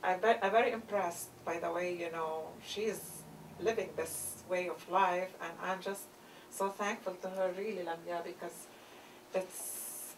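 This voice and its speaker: A woman's voice: on the low side, steady tone, unhurried. A woman from Lebanon speaking up from the audience, earnest and heartfelt. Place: church conference hall. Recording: distant recording with faint noise.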